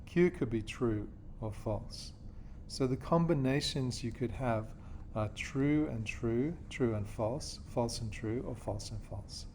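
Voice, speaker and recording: A man's voice: medium pitch, takes it slowly, hardly any pauses, a little mellow, a little hiss or hum. A man from Australia teaching a geometry class, explaining step by step and checking in with his students often. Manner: patient, checks in with "okay?" and "yeah?".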